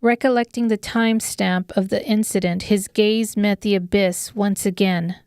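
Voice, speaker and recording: A woman with a smooth, clear voice giving scripted dramatic narration of an audiobook. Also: medium pitch, steady tone, normal speed, darkish tone, dry recording, clean studio sound.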